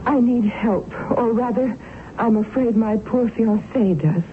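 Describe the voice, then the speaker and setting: A woman's voice: a little high, a little slow, lightly acted. A genteel young woman of an old-fashioned romance , played by a radio actress, speaks with formal tenderness and devotion. The sound is a thin, phone-like old broadcast with some noise behind it. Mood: troubled. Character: devoted, genteel young fiancée, a general's daughter. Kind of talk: period radio drama acting. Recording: noisy, tinny old radio broadcast recording.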